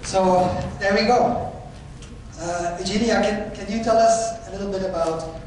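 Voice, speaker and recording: A man's voice: high voice, steady tone, unhurried, a little mellow. A man from Belgium, a researcher presenting at a conference, speaking hesitantly with many fillers. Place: large conference hall. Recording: echoey hall, mic from far off, noisy.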